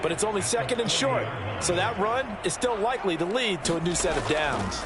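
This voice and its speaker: A man's voice: high-pitched, big pitch swings, a little fast, heavy background noise. A man playing a seasoned, opinionated ex-player football analyst in a sports video game, explaining plays conversationally. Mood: matter-of-fact. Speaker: football color commentator, former defensive back. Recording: commentary over loud game audio.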